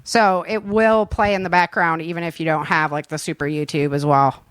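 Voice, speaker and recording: A woman's voice: on the low side, steady tone, normal speed, no echo. Chatty, giggly woman podcast host, close and dry. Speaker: podcast host and audio drama creator. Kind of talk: casual chat.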